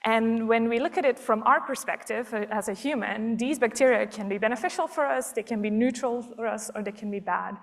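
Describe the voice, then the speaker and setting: A woman's voice: a little high, fairly quick, hardly any pauses. A woman in her thirties from Belgium giving a public science talk, explaining things step by step with frequent ums.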